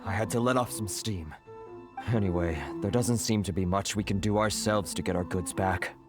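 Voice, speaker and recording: A man's voice: lowish voice, steady tone, normal speed, over music, close to an everyday voice. A man playing a fretful, humble, exasperated merchant in a video game, often hesitant. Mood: aggrieved, dejected. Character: fretful, downtrodden foreign male merchant, humbly deferential. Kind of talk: video game dialogue acting.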